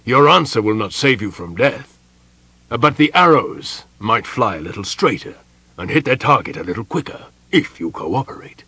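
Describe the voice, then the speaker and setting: A man's voice: medium pitch, slow pace, gravelly, hardly any pauses, pushed and bright, no echo, voice pushed up, exaggerated voice. A man voicing a stern, pompous elven general in a fantasy audiobook, barking his words in a commanding, portentous way. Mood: portentous, cold.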